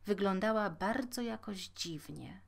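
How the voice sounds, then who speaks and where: A woman's voice: mid-range voice, animated, a little slow, vocal fry. A woman reading a scripted fairy tale aloud as a storyteller.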